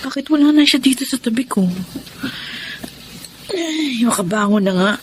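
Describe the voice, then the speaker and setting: A woman's voice: sits high, lively intonation, moderate pace, a little hiss or hum. A woman playing a lovestruck, stubborn young woman in an emotional Filipino radio drama. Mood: drowsy, puzzled. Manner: emotional, melodramatic, defensive.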